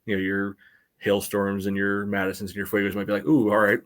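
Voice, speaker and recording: A man's voice: lowish voice, completely flat delivery, fairly quick. A man co-hosting a podcast in casual sports chat, often saying "you know" as he goes. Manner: peppers speech with "you know". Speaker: podcast co-host.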